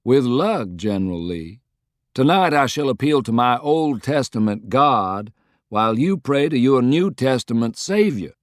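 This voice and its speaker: A man voicing a shrewd, persuasive Confederate statesman in an audiobook, speaking in a deliberate, eloquent way. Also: mid-range voice, expressive, dry recording, studio quality, natural voice. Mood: wry. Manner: deliberate, articulate, rhetorical.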